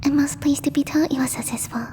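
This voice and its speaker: A woman voicing a gentle, timid alien girl in a soft, breathy ASMR roleplay, speaking formally and haltingly as she searches for words. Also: high voice, fairly even intonation, lightly acted. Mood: tender, concerned. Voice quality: breathy, whispery. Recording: long reverb, faint background noise.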